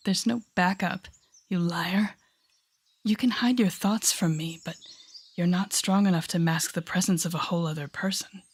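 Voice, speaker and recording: Female villain played by an actress for audio roleplay: menacing telepath, breathy lowish voice, mocking, theatrical, taking deliberate pauses. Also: fairly even intonation. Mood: taunting, menacing. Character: sardonic, menacing telepathic woman villain taunting a rookie hero. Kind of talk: audio roleplay acting addressed to the listener.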